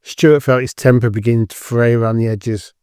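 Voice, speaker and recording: A man's voice: medium pitch, steady tone, a little fast, a little mellow, smooth, dry recording. Man narrating an audio drama, dry studio sound.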